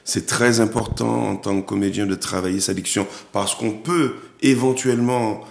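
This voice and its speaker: A man from Martinique, an actor and director, talking as a guest in a TV interview, with halting speech full of repeats and restarts. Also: lowish voice, steady tone, gravelly, darkish tone, reverberant.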